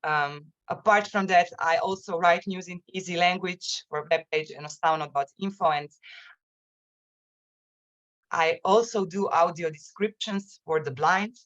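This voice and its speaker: A woman speaking in a webinar as a journalist, haltingly and often repeating words, over an online call. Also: lowish voice, a bit bright. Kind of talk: webinar presentation and answers. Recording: muffled, lo-fi online call, cutting out.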